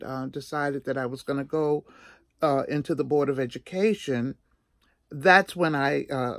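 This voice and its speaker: Animated older woman, dry close sound. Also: low-pitched, no echo. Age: 60s. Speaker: vlogger telling a personal story. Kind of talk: casual chatty storytelling.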